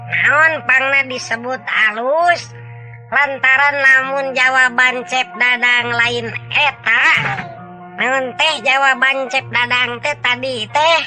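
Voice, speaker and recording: A man voicing an impish, cackling, wise companion who explains riddles in a teasing way in a dramatized folk tale. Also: exaggerated voice. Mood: teasing, amused. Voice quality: shrill, bright. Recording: music behind the voice.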